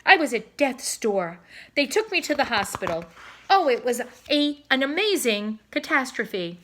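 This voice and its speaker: A self-important old male swan, voiced by a woman reading a storybook. He talks in grand, theatrical phrases, milking his own adventures. The voice is sharp and bright. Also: high-pitched, big pitch swings, a little slow, a little hiss or hum, voice pushed up, heavily acted. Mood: boastful, melodramatic. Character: pompous, self-dramatizing old male swan, boastful husband. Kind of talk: children's storybook read-aloud.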